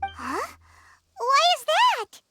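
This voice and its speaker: Childlike fairy companion, squeaky, sky-high pitch, animated, earnest, game dialogue, prominent music. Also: female voice, very slow, heavily acted. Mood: puzzled. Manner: exclamatory, refers to self in third person. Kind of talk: English video game voice acting. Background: melodic jingly game music.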